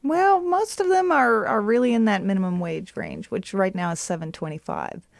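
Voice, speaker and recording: A woman's voice: mid-range voice, sing-song, moderate pace, creaky, dry recording, studio quality. A woman answering as an expert guest in a radio interview. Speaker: workforce program representative, radio guest.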